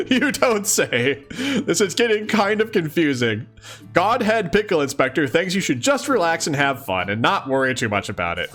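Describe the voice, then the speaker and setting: Animated man, lighter-pitched, reacting as he reads. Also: moderate pace, soft background music. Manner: amused, exclaiming, often chuckling. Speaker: host of a let's-read series. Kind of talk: casual reading aloud with running commentary.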